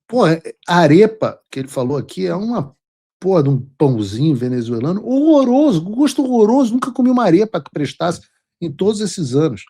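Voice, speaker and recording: A Brazilian-accented man hosts his own commentary show, his voice a touch high and husky. He talks bluntly and emphatically, mocking his opponents and swearing casually as he lays out his political views. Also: very animated, fairly quick, mellow. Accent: Brazilian Portuguese. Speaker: show host.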